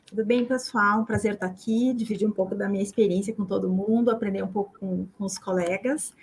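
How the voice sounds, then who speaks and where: A woman's voice: mid-range voice, fairly even intonation, a little fast, slightly rough, rough recording. A woman who is a chemical engineer in packaging, introducing herself in an upbeat, casual way on a panel. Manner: upbeat, self-introducing with "é" fillers.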